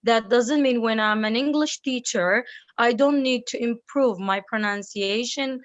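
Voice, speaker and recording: Earnest Kurdish-accented English teacher. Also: female voice, a little high, a little slow, no room sound, dull audio. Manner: earnest, repeats words while searching. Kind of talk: casual video call interview. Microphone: video call.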